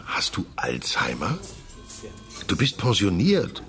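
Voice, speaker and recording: A seasoned police detective in a radio play. His voice is very low, and he talks in a dry, laconic, skeptical way to an old colleague. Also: wildly swooping pitch, slow pace, music in the background, full character voice. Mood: dryly concerned. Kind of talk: naturalistic radio drama dialogue.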